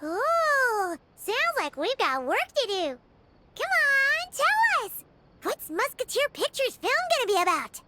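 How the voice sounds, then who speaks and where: A woman voicing a tiny, nosy, excitable floating companion in a game, asking eager, bouncy questions. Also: very high voice, takes it slowly, background noise, exaggerated voice. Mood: excited. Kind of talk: game cutscene voice acting. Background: music.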